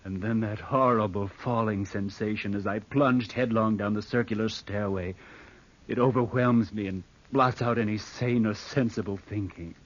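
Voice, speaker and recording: A man's voice: lowish voice, steady tone, normal speed, close to an everyday voice. An actor playing a scheming, bitter nephew who broods as he narrates in an old-time radio drama. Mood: haunted, obsessive. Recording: dull vintage radio recording.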